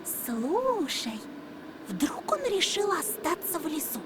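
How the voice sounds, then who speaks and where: A woman's voice: high voice, sing-song, takes it slowly, background noise, exaggerated voice. A teasing fox-masked girl. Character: mysterious young girl in a fox mask, teasing. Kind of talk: game voice acting.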